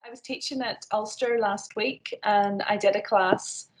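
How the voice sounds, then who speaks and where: A woman talks as an author over a video call. She is chatty and quick and often restarts her sentences. Her voice sits at an ordinary middle pitch. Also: fairly even intonation, poor audio. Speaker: author and guest. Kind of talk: casual chat and poetry reading.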